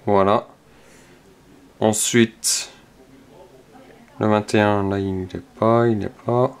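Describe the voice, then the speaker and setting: Slow, low-voiced Frenchman scratching tickets. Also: steady tone, clear, resonant tone. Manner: slow, checks numbers one by one, mutters softly. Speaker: scratch-card video host. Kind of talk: casual play-by-play while scratching tickets.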